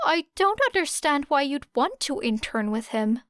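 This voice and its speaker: A woman's voice: high voice, animated, moderate pace, fairly bright tone, dry recording, studio quality, voice pushed up. A woman voicing an earnest, hesitant teenage boy who stammers in an audiobook story. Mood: gentle, pleading.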